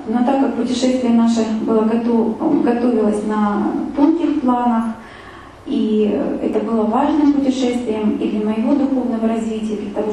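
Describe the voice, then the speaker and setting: A woman recounts her trip to a live audience in a loose, rambling way, with many fillers and restarts. Her voice is mellow and fairly high. She sounds set back from the mic in a very echoey room, with a faint hiss behind her. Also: far from the mic. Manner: chatty, full of fillers and restarts. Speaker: speaker giving a travel talk with photos. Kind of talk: casual spoken travel story to an audience.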